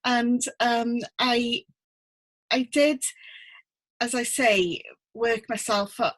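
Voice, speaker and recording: A middle-aged woman from North Wales, a guest talking gently and thoughtfully over a video call, with many ums and restarts. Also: a little high, steady tone, takes it slowly. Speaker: guest, book mentor. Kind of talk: casual interview chat. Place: home room with full bookcase.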